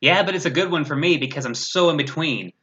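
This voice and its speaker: A man's voice: on the high side, fast talker, bright, forceful tone. A man chatting casually as a guest on a gaming livestream, talkative and opinionated with a sarcastic streak. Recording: slightly dull, lo-fi stream audio.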